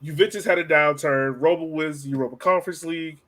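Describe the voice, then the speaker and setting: A man with a slightly high voice hosts a sports podcast. He talks in a loose, slangy way, like casual chat between friends. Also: steady tone, fairly quick, a bit bright. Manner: informal, slangy. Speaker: podcast host.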